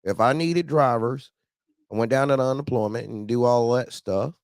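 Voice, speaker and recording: Man, call-in host, casual slangy storyteller, acts out dialogue, mid-pitched, dry sound. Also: moderate pace, no room sound. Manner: slangy, animated anecdote telling with acted-out quotes. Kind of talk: casual trucking chat and storytelling.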